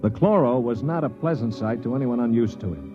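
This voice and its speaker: An actor playing a blunt, cynical Earthman prisoner who narrates a 1950s science fiction radio play in a sardonic, forceful manner. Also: medium pitch, a little fast, music in the background, natural voice. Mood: grim narration. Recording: muffled 1950s radio recording.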